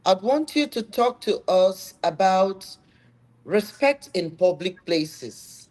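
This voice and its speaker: A woman hosts a conversation, talking slowly and deliberately. Her delivery is measured and motivational. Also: mid-range voice, expressive, darkish tone, rough recording. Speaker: host. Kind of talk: conversational live-stream talk.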